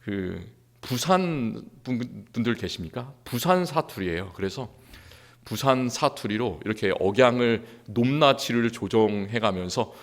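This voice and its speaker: A man's voice: mid-range voice, animated, unhurried. Korean pastor guy preaching a sermon, voice swinging up and down a lot, earnest and emphatic, sounds like it's in a church. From Seoul, South Korea. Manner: earnest preaching with rising emphatic stretches and quiet drops. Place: church sanctuary.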